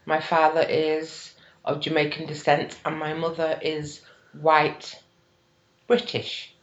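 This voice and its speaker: A woman's voice: deep voice, monotone, slow. 40s woman, emphatic, agrees a lot, group chat, echoey room, muffled lo-fi audio. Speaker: podcast participant. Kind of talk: casual group discussion. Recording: roomy, dull lo-fi recording.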